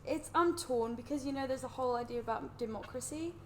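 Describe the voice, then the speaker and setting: A woman's voice: sits high, fast, slight background noise. A young woman from Switzerland, an international student, explaining at length in casual podcast chat with many fillers. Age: young adult.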